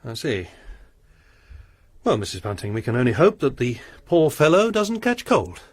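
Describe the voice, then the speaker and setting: A man's voice: medium pitch, wildly swooping pitch, moderate pace, dry recording, clean studio sound. An actor playing a troubled, sermonizing male lodger with a formal manner in a radio drama. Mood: suspicious. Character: sinister pious male lodger, troubled and brooding.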